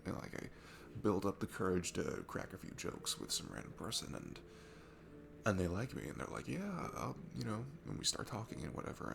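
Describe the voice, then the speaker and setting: A man playing a shy, nervous, sweet guy at a bar in an intimate ASMR roleplay, stammering and rambling apologetically in a low, gravelly, breathy voice. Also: noisy background, full character voice. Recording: music behind the voice. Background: music.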